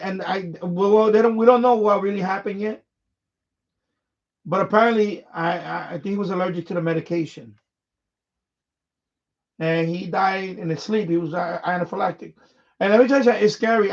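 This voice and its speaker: A man hosting a live stream in casual, unscripted chat, speaking haltingly and often repeating words and phrases. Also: sits high. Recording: dull, lo-fi microphone sound.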